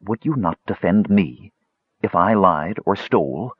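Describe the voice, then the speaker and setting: Guy reading as earnest woman pleading her case, soft husky tone, storybook, dull audio. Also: expressive, measured pace, dry recording, heavily acted. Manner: low-voiced, persuasive. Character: earnest, pleading woman weaver in a fantasy tale. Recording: dull, lo-fi recording.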